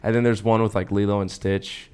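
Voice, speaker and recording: A young adult American man with a fairly low-pitched voice. He talks informally as a podcast co-host, in loose, slangy language, often saying dude and bro. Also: little pitch movement, a little fast, darkish tone, clean tone. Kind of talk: casual chat.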